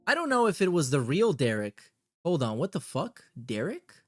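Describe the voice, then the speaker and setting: A man's voice: fairly high pitch, huge pitch swings, normal speed, no echo, clean studio sound, faint music behind. A man hosting a reaction show in a casual, blunt, sardonic way, reading messages aloud and swearing as he comments.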